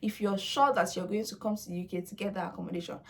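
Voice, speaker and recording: A woman's voice: medium pitch, fairly even intonation, fast talker, gravelly, a little hiss or hum. A young woman whose first language is Yoruba, talking casually to her viewers in a chatty, coaxing way with playful asides. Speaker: vlogger. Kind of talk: casual talk to camera.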